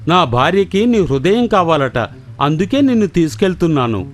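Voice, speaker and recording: A simple, mild crocodile husband from a kids' cartoon. The voice sits fairly low and moves about expressively, over faint music. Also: female voice, big pitch swings, moderate pace. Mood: apologetic. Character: gullible, mild-mannered male crocodile husband. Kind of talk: children's cartoon voice acting.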